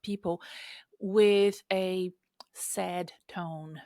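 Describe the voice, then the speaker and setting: A woman's voice: lowish voice, steady tone, very slow, warm tone, no echo. Thoughtful woman hosting a podcast, dry sound. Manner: thoughtful, with hesitant restarts. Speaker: podcast host and career coach. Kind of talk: casual interview talk.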